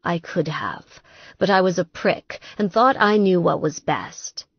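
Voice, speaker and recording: Woman voicing an earnest, unhurried romance hero. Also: fairly low pitch, breathy edge, no echo, highs cut off. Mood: contrite. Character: adult man, contrite, determined, teasing romance hero.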